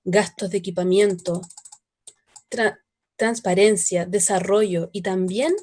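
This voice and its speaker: A woman's voice: medium pitch, darkish tone. A woman reading a report in a radio documentary, in an earnest and explanatory way.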